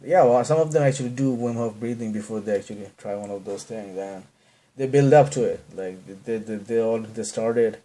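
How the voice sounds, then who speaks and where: A man's voice: on the low side, normal speed, warm tone. Rambling Bangladeshi-accented man. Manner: rambling, trails off and restarts mid-sentence, self-deprecating. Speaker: podcast host. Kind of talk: casual rambling monologue.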